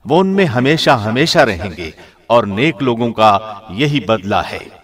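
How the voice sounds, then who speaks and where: Mid-pitched man reading formal translation. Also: big pitch swings. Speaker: translation reader, radio host.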